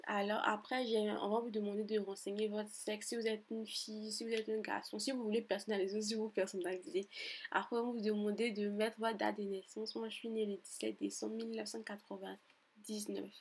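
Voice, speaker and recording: A woman's voice: medium pitch, little pitch movement, brisk, husky. A young woman from Côte d'Ivoire with an Ivorian French accent, talking through an online form in a chatty, repetitive voice-over tutorial. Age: 20s. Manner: chatty, repeats herself, grows exasperated and emphatic. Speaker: tutorial vlogger. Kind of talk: screen-recorded voice-over tutorial.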